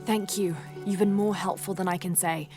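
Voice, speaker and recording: A woman playing a determined, troubled young female adventurer in a video game cutscene, speaking earnestly and with measured thought. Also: medium pitch, fairly even intonation, natural voice. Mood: subdued. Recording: game cutscene with music behind.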